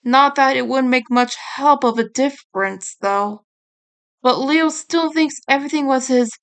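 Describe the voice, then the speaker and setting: A woman's voice: high voice, unhurried, clean tone. A woman voicing a stubborn, protective teenage male turtle ninja, the blunt older brother, in a fan story reading. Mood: explaining earnestly.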